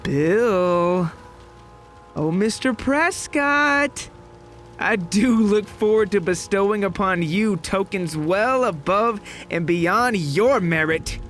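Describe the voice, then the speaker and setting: A man's voice: high voice, slow, a bit bright, faint music behind, pitched above the performer's own voice. A man voicing a murderous, gleefully polite villain in an audio horror story, grandiose and taunting in his courtesy. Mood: taunting, coaxing.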